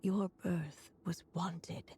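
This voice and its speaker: Slow, mellow witch storyteller. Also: lowish voice, steady tone, breathy edge, long reverb tail, soft background music, heavily acted. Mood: tender, solemn. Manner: measured, formal, poetic. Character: motherly witch narrating a fairy tale, solemn, tender. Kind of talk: storybook narration in a video game.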